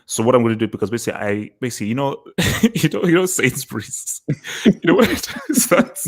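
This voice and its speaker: Male host of a chatty football call, mid-pitched, repeats himself, keeps the group on track. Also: very animated, brisk. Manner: loose, repeats words, herds the others. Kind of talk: casual livestream hangout chat.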